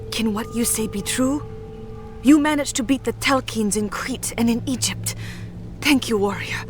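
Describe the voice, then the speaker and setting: A woman's voice: on the high side, close to an everyday voice. An actress playing a solemn female warrior-scholar of an ancient order in a video game, speaking with earnest, formal drama. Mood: anguished, urgent.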